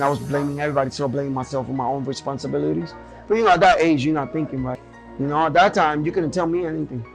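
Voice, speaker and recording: A man's voice: a little high, poor audio. A man from Puerto Rico, a boxer and gym owner, speaking earnestly and candidly in a documentary interview, often adding "you know what I mean".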